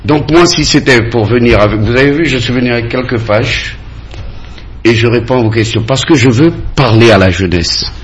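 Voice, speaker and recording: A man's voice: mid-range voice, normal speed, breathy edge. A man from Guiana, speaking insistently and repeating phrases as a candidate in a radio campaign debate. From French Guiana. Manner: insistent, emphatic, repeats phrases to drive points home. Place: radio studio.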